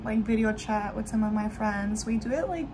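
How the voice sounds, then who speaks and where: A woman's voice: medium pitch, moderate pace. A woman in her twenties chatting casually to her phone camera in a small apartment, rambling with lots of fillers. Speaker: vlogger. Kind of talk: casual vlog chat to camera. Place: small apartment room, indoors. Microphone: phone camera held at arm's length. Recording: noisy room with air conditioner hum. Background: air conditioner running.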